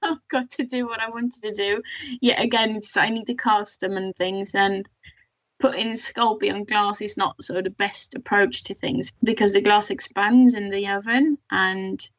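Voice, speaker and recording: A woman, a toy customizer being interviewed, talking modestly with many pauses and little laughs. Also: mid-range voice, fairly even intonation, fairly bright tone, no room sound. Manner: modest, hesitant, many ums, laughs often. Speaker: guest artist and toy customizer. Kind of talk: casual podcast interview. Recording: dull lo-fi sound.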